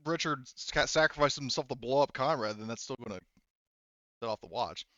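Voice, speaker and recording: A man's voice: medium pitch, brisk, fairly bright tone, dry recording, highs cut off. A man speaking casually as game master, explaining rules and options in a tabletop roleplaying session.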